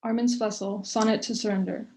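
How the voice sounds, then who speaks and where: A woman's voice: mid-range voice, fairly even intonation, fairly quick, a little mellow. A woman reading a poem aloud in an understated way. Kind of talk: poetry recitation.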